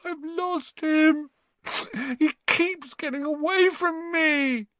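High, wailing little boy. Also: fairly even intonation, takes it slowly, a bit bright, no echo, exaggerated voice. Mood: tearful, miserable. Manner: plaintive, wailing. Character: timid, tearful, miserable round-faced young boy. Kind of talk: children's audiobook character voice.

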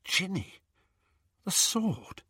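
A man's voice: high voice, sing-song, very slow, sharp tone, slightly rough, no room sound, clean studio sound, voice pushed up, heavily acted. A man voicing a tense, determined teenage boy who talks bluntly and urgently in a storybook reading. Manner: urgent, guarded, direct.

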